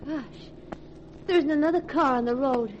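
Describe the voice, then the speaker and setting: A woman's voice: sits high, normal speed, dull audio, slight background noise, lightly acted. An actress playing a nervous young widow and a crook's girlfriend in a 1940s radio drama, breathless and eager, easily rattled.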